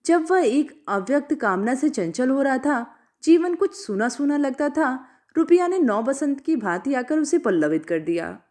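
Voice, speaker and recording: A woman narrating a literary short story as an expressive storyteller. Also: high-pitched, measured pace.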